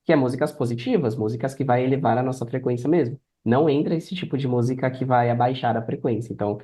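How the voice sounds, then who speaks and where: A man's voice: mid-range voice, fast talker, dark tone. A Brazilian man guesting on a podcast, casually sharing personal stories and advice, repeating phrases for emphasis.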